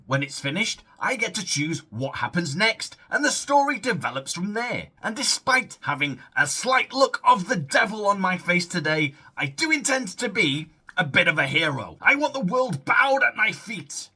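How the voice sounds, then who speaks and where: A man hosting a gameplay video, commenting theatrically with shouted bursts and sarcastic asides. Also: high-pitched, breathy edge. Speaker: gaming video host.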